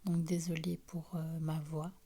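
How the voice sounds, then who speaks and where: A woman with a cold-roughened, hoarse voice leads a card reading in a low, level tone. She speaks very slowly and often drops into a breathy half-whisper. Loud background noise and the shuffle and click of cards run under her voice. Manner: soft, half-whispered, calm. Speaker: tarot card reader. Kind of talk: slow card reading guidance.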